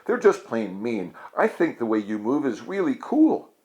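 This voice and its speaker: Man voicing a cheerful little curveball girl, animated. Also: medium pitch, normal speed, slightly rough. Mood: reassuring. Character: cheerful, kind young dancing curveball girl.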